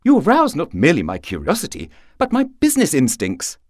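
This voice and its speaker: A man voicing a brisk, self-possessed young woman milliner in a story, breezy, witty and talkative. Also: a little high, huge pitch swings, darkish tone, no room sound, clean studio sound, pitched above the performer's own voice. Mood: breezy, amused.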